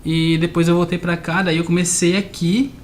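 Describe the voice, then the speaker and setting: Male interview guest, slightly high voice, conversational storytelling with frequent check-in tags, some background noise. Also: flat delivery, moderate pace. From grew up between southern Brazil and Argentina.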